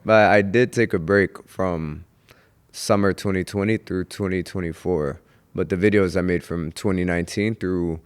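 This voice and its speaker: Young man, from Houston Texas, deep voice, smooth resonant tone, reflective, casual podcast guest. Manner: reflective, unhurried, pauses to think. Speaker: guest comedian.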